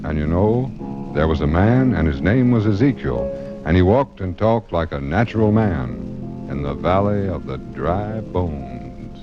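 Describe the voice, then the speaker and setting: A man's voice: deep voice, animated, a little slow. A man delivering a folk spiritual as musical storytelling. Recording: dull vintage recording, music behind.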